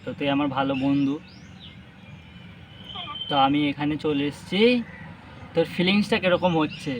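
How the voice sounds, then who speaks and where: A man's voice: fairly high pitch, normal speed. A young man chatting casually on a phone call, teasing, coaxing and pressing with questions. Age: young adult. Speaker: vlogger making a prank phone call. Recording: noisy with birds squawking behind. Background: parrot squawks and ambient noise.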